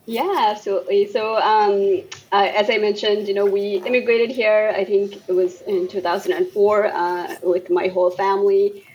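A woman's voice: medium pitch, steady tone, moderate pace, few pauses, a little mellow, dull audio, a little hiss or hum. A woman from Bangladesh, a guest on a casual talk show, speaking thoughtfully with many fillers and restarts. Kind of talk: casual podcast interview.

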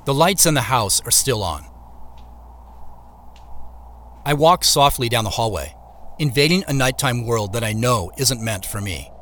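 A man's voice: mid-range voice, animated, normal speed, a bit bright, a little hiss or hum, natural voice. An actor playing an introspective male scientist in a first-person audio drama, moving between narration and dialogue. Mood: hushed suspense.

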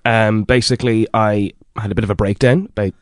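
A man playing a mild-mannered guest who calls himself the country's hardest man, soft-spoken and earnest. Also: on the low side, steady tone, a little fast, no room sound, studio quality, lightly acted.

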